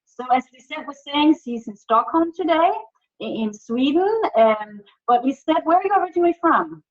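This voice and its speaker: Woman from Denmark, higher voice, webinar facilitator, muffled video call sound. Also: normal speed. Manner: chatty, restarts often, lively questions to the audience. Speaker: workshop facilitator. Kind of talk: webinar presenting. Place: home.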